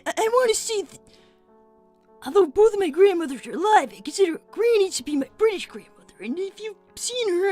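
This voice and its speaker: Young fox boy streamer, voiced by a woman; very high, animated, stammers and rambles, dry close mic. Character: young male fox kid, nervous and earnest. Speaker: livestream character performer. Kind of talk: live roleplay stream with chat.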